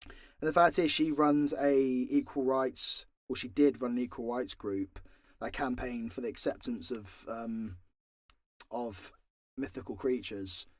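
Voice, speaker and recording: A man's voice: medium pitch, fairly even intonation, unhurried, no room sound. A British man chatting casually and off the cuff as he answers fan questions, with a blunt, sweary, jokey manner. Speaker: audio drama creator and voice actor answering fan questions. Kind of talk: casual unscripted Q&A chat.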